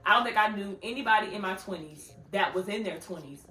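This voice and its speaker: A woman in her thirties talks straight to camera like she is chatting with friends, playful and quick to laugh. Her voice is bright and forward. The room around her rings with echo, and faint music sits underneath. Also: medium pitch. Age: 30s. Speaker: vlogger, channel host. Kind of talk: casual advice talk to camera.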